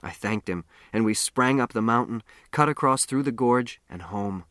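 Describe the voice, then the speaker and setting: A man reads a first-person story. His pitch sits in the middle of the male range. The sound is dry and close, as suits a narrated audiobook. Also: moderate pace, fairly bright tone, no room sound.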